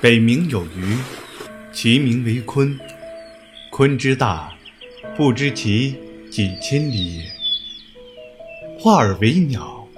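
Man as a courteous, expressive young scholar. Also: medium pitch, takes it slowly, long pauses, over music. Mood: musing. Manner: formal, literary, amused. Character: courteous, gentle young scholar of old times. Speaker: voice actor. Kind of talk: period audio drama acting.

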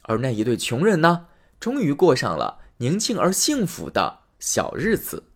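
A man narrating a fairy tale for a storytelling podcast, lively and expressive. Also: a little high, normal speed, husky.

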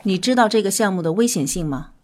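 A woman's voice: medium pitch, lively intonation, quick pace, a little mellow, slight background noise, natural voice. A woman playing an exacting middle-aged scientist who questions and commands in a science fiction audio drama. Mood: stern questioning.

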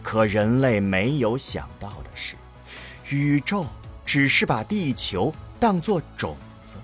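Male narrator for sci-fi audio drama: solemn, theatrical, unhurried, with a highly expressive pitch range. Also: faint music behind.

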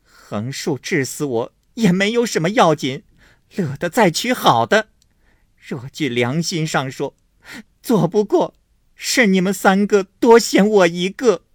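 A reader voicing a spiteful, scheming young wife who sneers and wails accusations in a storybook reading. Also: female voice, a bass voice, big pitch swings, slow pace, pauses often, husky, no room sound, clean studio sound, voice pushed up, exaggerated voice. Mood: scornful shrill.

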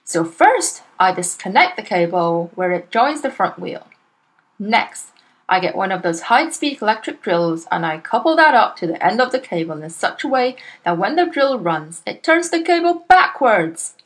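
A woman voicing a boastful, crooked male car dealer who talks loudly and smugly. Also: medium pitch, very animated, normal speed, close to an everyday voice. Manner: blustering and smug, loud.

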